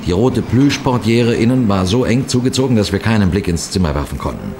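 A man playing a seasoned, composed police detective who narrates a 1960s radio crime drama in a measured, precise, formal way. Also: on the low side, expressive, music in the background. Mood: grave, suspenseful. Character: seasoned male police detective telling his cases, composed, authoritative.

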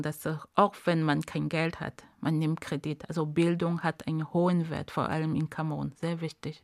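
Gravelly-voiced woman from Cameroon. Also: sits low, no room sound, studio quality. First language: Bamileke. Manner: animated, searching for words, frequent laughs. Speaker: interview guest. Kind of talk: casual interview conversation.

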